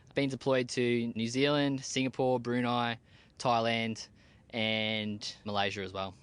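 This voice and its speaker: A man's voice: mid-range voice, flat delivery, unhurried, a bit bright, clear, resonant tone. A young Australian man, an army team leader, answering interview questions in a plain-spoken, casual way with frequent fillers.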